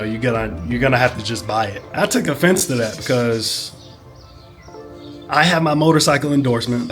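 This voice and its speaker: A man, sick and a bit hoarse, telling a long rambling story in a casual vlog, full of "you know" and "whatnot". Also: mid-range voice. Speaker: motorcycle vlogger.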